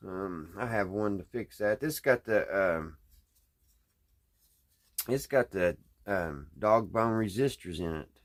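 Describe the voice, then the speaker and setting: Guy, folksy, just thinking out loud while he tinkers at the bench. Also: low-pitched, lively intonation, takes it slowly. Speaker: hobbyist radio repairer, video host. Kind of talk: casual unscripted hands-on repair vlog. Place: indoors at a workbench.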